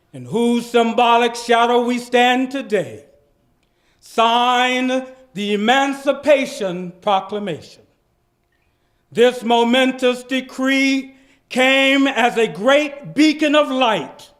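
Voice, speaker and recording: A man performing a dramatic reading as an impassioned civil rights orator, declamatory and solemn with drawn-out phrases. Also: very high voice, full character voice. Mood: solemn, mournful. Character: impassioned male civil rights orator addressing a great crowd. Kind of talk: dramatic reading of a 1960s oration.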